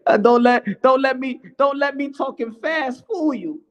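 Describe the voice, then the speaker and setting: A man voicing a flustered, indignant car-crash victim, in broken, repetitive, excitable comic speech. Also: very high-pitched, normal speed, lo-fi sound, pitched above the performer's own voice.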